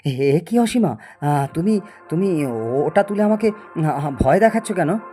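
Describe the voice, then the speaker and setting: A man's voice: high-pitched, lively intonation, unhurried, mellow, soft background music. A man acting a proud, obsessive middle-aged scientist in a Bengali audio story, theatrical and prone to stammer when agitated. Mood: shocked, agitated. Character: proud obsessive male scientist, boastful and stubborn.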